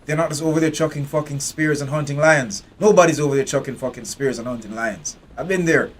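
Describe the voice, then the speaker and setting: A man's voice: on the high side, fairly quick, slight background noise. A man from Jamaica, giving blunt, profane live commentary while streaming a game.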